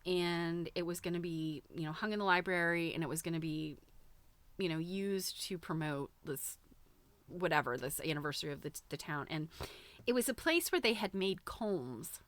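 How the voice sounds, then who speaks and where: A woman host chatting casually on a livestream in an informal, halting way, thinking aloud as she goes. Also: on the low side, no echo. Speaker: host of a live quilting book show. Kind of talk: casual livestream chat, commenting while browsing a book.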